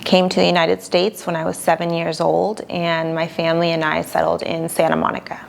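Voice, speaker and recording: A young woman attorney from Argentina answering interview questions in a calm, measured, plain-spoken way. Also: lowish voice, gravelly, a little hiss or hum.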